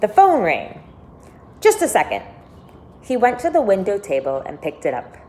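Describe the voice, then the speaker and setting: A woman teacher reading a children's novel aloud expressively and pausing to think aloud for her listeners. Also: mid-range voice, huge pitch swings, dark tone. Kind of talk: read-aloud storytelling with commentary.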